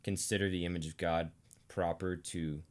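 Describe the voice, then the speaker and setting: A deep-voiced American man explains theology in a conversational teaching style. His delivery is deliberate and emphatic, with weighty pauses between phrases. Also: flat delivery. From Arkansas, United States. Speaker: teacher and co-host of a theology series.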